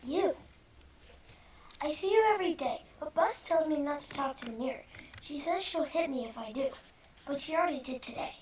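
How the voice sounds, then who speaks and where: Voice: high-pitched, animated, moderate pace, pauses often, a crowd in the background, poor audio. A performer playing an innocent, pleading little foster boy who longs for his mother, in a screenplay table read. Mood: timid, hopeful.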